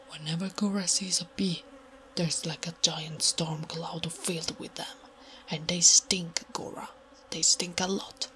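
A woman voicing a big, strong but gentle and naive orc warrior woman in an ASMR roleplay, halting and soft-spoken, half-whispering in simple broken speech. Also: on the low side, a little hiss or hum. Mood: curious, confused.

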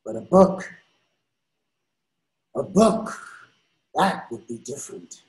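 A man's voice: mid-range voice, expressive, takes it slowly, darkish tone, reverberant. An older man voicing a scheming male devil disguised as a friar in a readers theater piece over a video call, drawn out and gloating.

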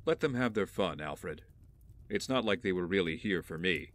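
A man's voice: low voice, expressive, normal speed, very noisy. An actor playing a brooding, resolute rich heir in an audio drama, earnest and accusing as he speaks of justice. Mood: grim, resolute. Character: brooding, intense wealthy male heir bent on justice.

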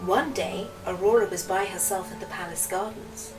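A woman softly narrating a children's storybook aloud. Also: medium pitch, steady tone, normal speed. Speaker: storybook reader. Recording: distant mic, music behind the voice. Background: music.

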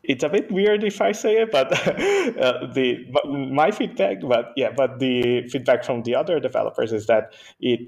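A man from Brazil, a native Portuguese speaker, answering interview questions conversationally as a guest engineer, with halting phrasing and repeated words. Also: mid-range voice, lively intonation, gravelly, darkish tone.

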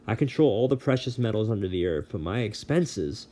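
Man performs bellowing, aggrieved god of the dead for a storybook reading, lowish and loud. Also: expressive, a little fast. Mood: ranting. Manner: bellowing, harried and threatening. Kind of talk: audiobook reading.

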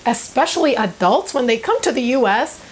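Higher-pitched young Spanish-native woman, earnest. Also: expressive, moderate pace, breathy edge. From Cuba, later South Florida. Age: young adult. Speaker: presenter of a personal video channel. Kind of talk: personal storytelling to camera. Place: small furnished room indoors.

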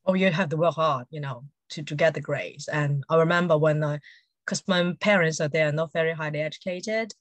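A woman's voice: sits low, fairly quick, dry recording. A woman in her forties with a Hong Kong accent, chatting casually as an interview guest and often saying "you know". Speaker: interview guest, Montessori educator. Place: indoor room at home, video call.

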